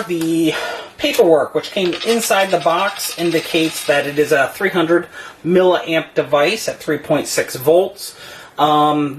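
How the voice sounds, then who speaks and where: A product reviewer speaks in a mid-pitched voice, explaining things plainly. The delivery is unscripted and matter-of-fact, in the manner of a hands-on demonstration.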